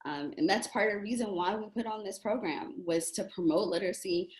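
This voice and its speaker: A woman's voice: medium pitch, fairly quick, darkish tone, echoey room. A woman hosting an online reading series interview, upbeat and welcoming as she introduces a guest. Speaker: club president and host.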